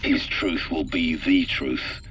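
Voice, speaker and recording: A man acting a grim, weary soldier in a video game, delivering a solemn, deliberate and brooding monologue. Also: a bass voice, a bit bright, far from the mic, slight background noise, heavily acted. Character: grim, weary male soldier vowing to expose a liar.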